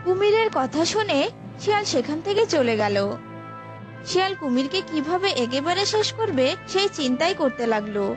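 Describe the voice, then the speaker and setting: A woman's voice: very high voice, sing-song, normal speed. A woman narrating a scripted story for children as a storyteller. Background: prominent music.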